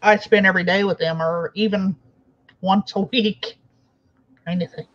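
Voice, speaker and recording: A woman's voice: medium pitch, fairly even intonation, moderate pace. A woman hosting a casual live chat, laid-back and laughing often. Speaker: stream host. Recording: dull lo-fi mic.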